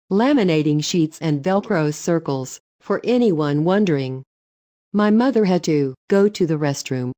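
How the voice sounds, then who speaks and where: Woman, story reader, low voice, warm dry sound, steady read. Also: no room sound. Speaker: narrator. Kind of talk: reading online stories aloud.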